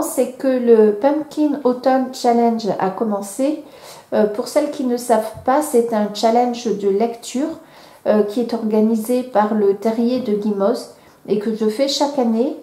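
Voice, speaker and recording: A woman's voice: medium pitch, mellow. A woman vlogger with a cold, chatting casually and hesitantly with many fillers and restarts. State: has a cold. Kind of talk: casual chat. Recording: echoey room.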